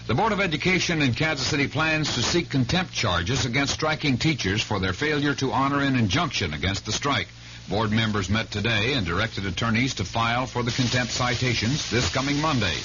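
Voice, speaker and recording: Male American local newsreader, crisp forceful scripted bulletin, muffled vintage radio with faint hum. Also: mid-range voice, brisk.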